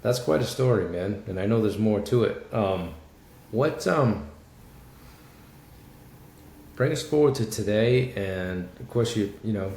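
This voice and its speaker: A man's voice: lowish voice, unhurried, darkish tone, reverberant, slight background noise. A male podcast host interviewing a guest, casual and friendly, often calling the guest "man".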